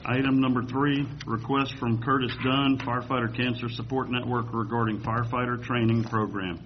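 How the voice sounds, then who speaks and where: A man's voice: medium pitch, steady tone, normal speed, a little hiss or hum. A man reading agenda items and a roll call at a meeting in a matter-of-fact way. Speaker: committee clerk reading the agenda. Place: meeting room. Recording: dull lo-fi meeting recording.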